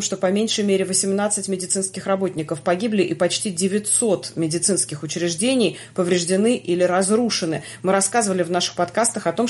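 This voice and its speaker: A woman hosting a news podcast, reading a daily summary in a measured way with frequent hesitations. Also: on the low side, darkish tone. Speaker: podcast host.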